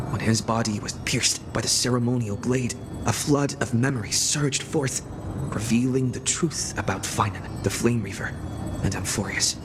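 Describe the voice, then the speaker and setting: A man playing an earnest young hero, solemnly narrating his world's fate in a measured, scripted way. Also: fairly low pitch, noisy background, natural voice. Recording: music behind the voice. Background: background music.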